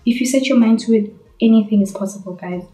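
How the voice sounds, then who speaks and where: A woman's voice: fairly high pitch, quick pace, dark tone. A young South African woman in her twenties answering viewer questions in a casual, earnest vlog from her bedroom, often calling her viewers "guys". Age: 20s. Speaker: YouTuber. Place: bedroom, indoors. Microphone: camera on tripod in front. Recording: echoey bedroom.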